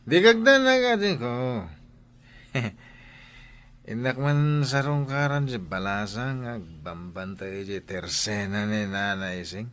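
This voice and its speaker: A man's voice: lowish voice, expressive, takes it slowly, a bit bright. A man playing a bashful, lovestruck young man in a radio drama, hesitant and flustered. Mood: evasive.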